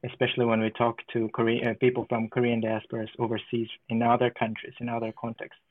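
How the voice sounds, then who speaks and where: Man, from Ukraine, interview guest, measured thoughtful talk, phone-like sound, dry. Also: mid-range voice, monotone, no echo. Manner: thoughtful, measured, with frequent pauses and fillers. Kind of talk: conversational interview. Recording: tinny phone-like line.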